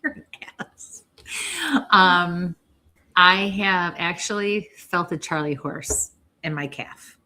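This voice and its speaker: A woman hosting a live-streamed spirit reading as a psychic medium, chatty and often clearing an irritated throat. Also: low voice, bright, forceful tone. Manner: chatty, keeps clearing her throat and coughing.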